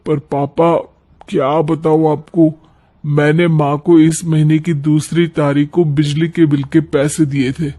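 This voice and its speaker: Man as an exasperated young cartoon son, highish voice. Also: flat delivery, a little slow, dark tone, natural voice. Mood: complaining.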